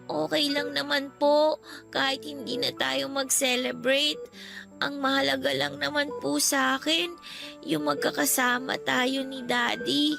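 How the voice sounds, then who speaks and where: A woman playing a gentle, ailing young daughter in a radio drama, polite and pleading. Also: sits high, level tone, a little slow, creaky, faint music behind, exaggerated voice. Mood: resigned, grateful. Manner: soft-spoken, respectful, pleading.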